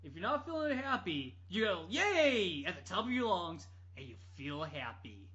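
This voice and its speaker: A man telling anecdotes casually on a podcast, in an animated, rambling, jokey way. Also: high-pitched, moderate pace. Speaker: podcast storyteller. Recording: dull lo-fi podcast audio.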